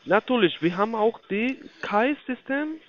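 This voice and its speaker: A high-voiced man with a foreign accent works through a telephone sales pitch. His intonation swings widely as he repeats himself in halting, grammatically broken phrases. The call audio is dull and lo-fi. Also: animated. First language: not German (non-native speaker). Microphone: phone call.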